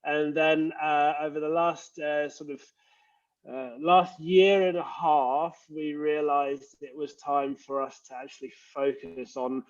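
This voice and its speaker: A man's voice: on the high side, little pitch movement, unhurried, highs cut off. A man with an English accent, a leadership coach explaining earnestly in a conversational interview over a video call. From England. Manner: earnest, explanatory, frequent uh fillers.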